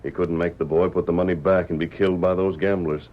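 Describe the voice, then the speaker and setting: An actor playing a calm, commanding western hero who tells a tale in measured, deliberate radio-drama style. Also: male voice, low-pitched, lightly acted. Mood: grave, steady. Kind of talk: old-time radio drama acting. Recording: dull vintage radio recording.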